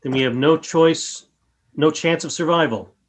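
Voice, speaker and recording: A woman in a radio play voicing a solemn, courteous alien who speaks in a measured, formal way through a robot. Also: sits low. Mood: grave.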